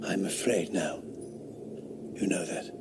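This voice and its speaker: An actor playing a haughty, sceptical 18th-century philosopher who talks with scorn and drops into fearful whispers, in a radio drama. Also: male voice, low voice, takes it slowly, noisy background.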